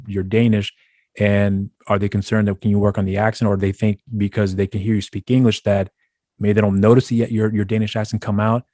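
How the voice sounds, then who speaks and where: Male interviewer, low voice, rapid long-winded questions. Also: a little mellow, no room sound, clean studio sound. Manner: long rambling questions with restarts. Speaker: podcast host.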